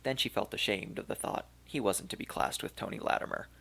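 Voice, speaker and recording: A man narrating a science fiction audiobook in a gravelly voice, with even, unhurried storytelling. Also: lowish voice. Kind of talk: scripted narration.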